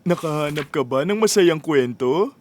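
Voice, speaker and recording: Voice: medium pitch, lively intonation, moderate pace, darkish tone, slight background noise, natural voice. A performer voicing a hungry, restless little boy in a children's cartoon, childlike and whiny. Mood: eager, restless. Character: little boy José, hungry, restless, food-obsessed at bedtime.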